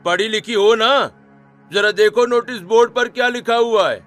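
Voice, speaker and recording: A man's voice: high voice, normal speed, soft background music, natural voice. A man playing a stern, moralizing middle-aged housing society secretary who scolds and lays down rules in a story drama. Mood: scolding, dismissive. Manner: scolding, rule-bound, authoritative. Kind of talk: acted Hindi story dialogue.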